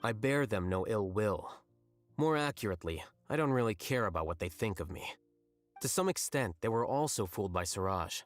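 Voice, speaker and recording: Cool rational scholar for a game, played by a man: lowish voice, deadpan, blunt, measured, matter-of-fact. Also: no echo, close to an everyday voice. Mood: calm, detached. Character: aloof, blunt young male scholar, coolly rational. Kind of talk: video game voice acting. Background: music.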